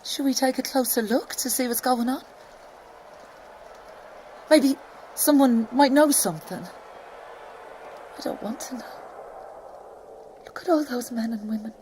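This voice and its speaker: Slow, wistful grieving mother. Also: a little high, a little hiss or hum. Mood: anxious, then hushed sorrow. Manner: slow, reflective, often hushed to breathy near-whisper. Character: grieving Irish mother and wife, wistful, quietly stoic. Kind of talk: intimate audio-play monologue.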